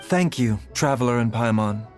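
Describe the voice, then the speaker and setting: A man playing a gentle, composed physician in a video game, speaking in a polite, formal, measured way. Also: medium pitch, soft background music, natural voice. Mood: composed, courteous. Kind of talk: video game character acting.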